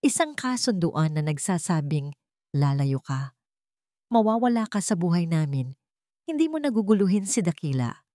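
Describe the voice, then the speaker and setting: A woman's voice: on the low side, big pitch swings, moderate pace, mellow, no room sound, studio quality. A woman reading a cold, commanding old patriarch. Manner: commanding, coldly businesslike.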